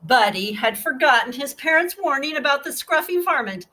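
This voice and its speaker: A woman's voice: high-pitched, expressive, moderate pace, sharp tone, rough recording. A woman narrating a children's storybook expressively, with asides to her young listeners. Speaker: storybook reader.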